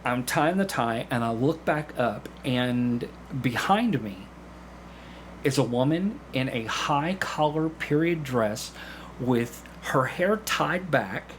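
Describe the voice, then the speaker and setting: A middle-aged man with a mid-pitched voice chats as a guest on a podcast. He tells stories in an animated way, slipping into little performances of what people said. There is noticeable ambient noise behind him. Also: takes it slowly. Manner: animated storyteller, acts out quoted remarks. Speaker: podcast guest, amateur stage actor. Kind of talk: casual interview storytelling.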